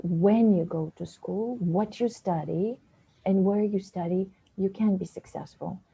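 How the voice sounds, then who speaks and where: Dark, husky woman on a webinar. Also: medium pitch, dull audio. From Bulgaria. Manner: earnest, practical, encouraging. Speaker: guest speaker, essay coach. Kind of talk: webinar talk. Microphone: video call.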